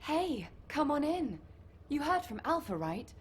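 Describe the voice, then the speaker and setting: A woman's voice: sits high, big pitch swings, measured pace, slight background noise. A woman playing a breezy, teasing recon operative in a video game, chatting informally as she briefs a team. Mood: upbeat, teasing. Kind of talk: video game mission dialogue acting.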